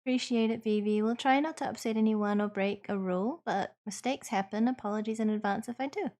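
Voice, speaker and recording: A woman livestream host with a New Zealand accent, talking to her chat in an earnest, measured and thoughtful conversational way. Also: medium pitch, darkish tone. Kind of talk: conversational livestream Q&A, partly reading chat aloud.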